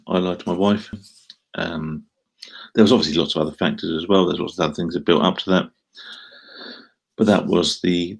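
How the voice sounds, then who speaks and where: Middle-aged Essex bloke, gravelly and laid-back, reading out chat and rambling through answers. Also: male voice, deep voice, rough recording. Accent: Essex English. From Essex, England. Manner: rambling, self-deprecating, frequent ums and restarts. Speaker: live streamer answering chat. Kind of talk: casual live stream chat.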